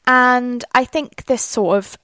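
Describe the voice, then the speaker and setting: A woman's voice: on the high side, takes it slowly, pushed and bright, smooth, no echo, studio quality. A young Welsh woman hosting a solo podcast, chatting in a rambling way full of fillers. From Wales. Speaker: podcast host. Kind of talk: casual solo podcast monologue.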